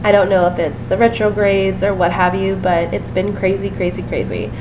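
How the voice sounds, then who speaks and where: A woman's voice: medium pitch, little pitch movement, normal speed, noisy background. Stuffy-nosed woman reading tarot cards. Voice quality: stuffy, nasal. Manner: apologetic, chatty, many fillers. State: sick with a sinus infection, sniffly. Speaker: tarot reader. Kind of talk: casual tarot reading to camera.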